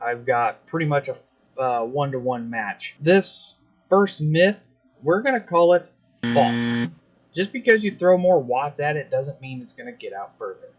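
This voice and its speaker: A man's voice: medium pitch, husky. American man casually explaining things to viewers. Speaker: video host, CB radio hobbyist.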